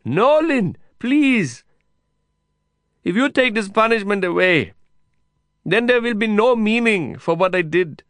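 A man voicing a proud, desperate male prisoner, pleading loudly and insistently through a fence. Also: high voice, expressive, moderate pace, smooth, dry recording, studio quality, pitched above the performer's own voice. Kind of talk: audiobook character voice.

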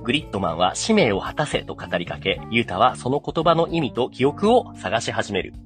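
A man's voice: medium pitch, very animated, normal speed, fairly bright tone, faint music behind. A man hosting a podcast, casually and enthusiastically explaining and summarizing an anime. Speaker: podcast host.

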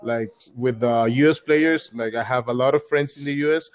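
Young guy on a call, english, keeps saying like and restarting, mid pitch. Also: normal speed. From Colombia. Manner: loose, repetitive phrasing with many likes and restarts. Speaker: guest, card game store player. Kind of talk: casual interview over call.

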